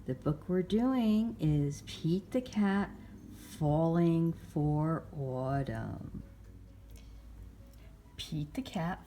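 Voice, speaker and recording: A woman hosting storytime, reading a picture book aloud to young children with measured, expressive phrasing. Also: low-pitched, a little hiss or hum. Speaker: library storytime host.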